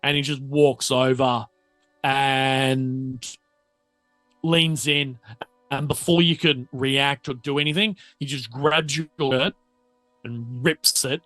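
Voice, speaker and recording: A man improvises narration for a tabletop game. His voice is bright and forceful, but he takes his time and pieces sentences together haltingly. The sound is dry, with music underneath. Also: mid-range voice, steady tone, no room sound. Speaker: game master.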